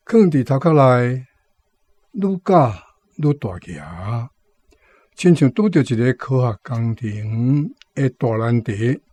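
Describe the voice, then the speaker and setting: A man's voice: mid-range voice, warm tone, dry recording. A man with a Taiwanese accent hosting on radio, explaining words conversationally and repeating his points. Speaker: radio show host. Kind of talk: explanatory commentary on Taiwanese vocabulary.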